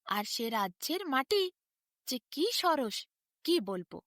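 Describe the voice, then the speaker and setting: A woman's voice: high voice, very animated, fairly bright tone, no room sound, studio quality, full character voice. A woman voicing a lazy but crafty barber spinning tall tales in a sly, boastful way. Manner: sly, boastful, drawn-out storytelling.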